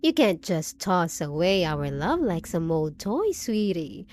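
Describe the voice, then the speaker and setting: A woman's voice: fairly low pitch, big pitch swings, slow, darkish tone, a little hiss or hum. A woman voicing a possessive, unhinged undead bride in a roleplay audio drama, speaking with sweet pet names, mock pity and clingy menace. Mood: accusing, possessive.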